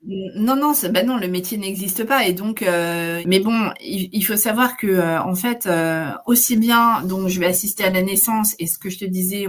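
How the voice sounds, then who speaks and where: A woman in her forties telling her story in a casual interview, chatty with many fillers. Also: medium pitch. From Netherlands. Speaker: guest, maternity carer.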